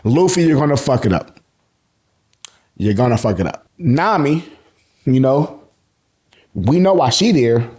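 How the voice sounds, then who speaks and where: A man's voice: mid-range voice, lively intonation, a little slow, pauses often, darkish tone. An American man hosting a casual, slangy recap, telling the story colloquially and acting out reactions. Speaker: YouTube host recapping manga.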